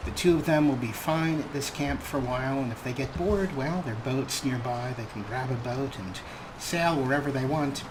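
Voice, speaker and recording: A man's voice: mid-range voice, normal speed, background noise. Wry male let's play host. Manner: relaxed, wry, talks to his viewers. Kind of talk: gameplay commentary.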